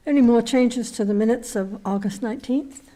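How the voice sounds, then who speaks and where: A woman chairing a town planning board meeting, with a slightly raspy voice, often hesitating and repeating words as she thinks. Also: on the high side, moderate pace, warm tone. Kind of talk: municipal meeting discussion.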